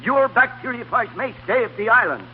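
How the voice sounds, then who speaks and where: Husky, high-voiced Scandinavian plague doctor. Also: male voice, lively intonation, normal speed, heavily acted. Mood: boisterous, insistent. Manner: emphatic, broken English. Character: boisterous, zealous foreign plague doctor. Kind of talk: old-time radio drama acting. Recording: tinny old radio broadcast.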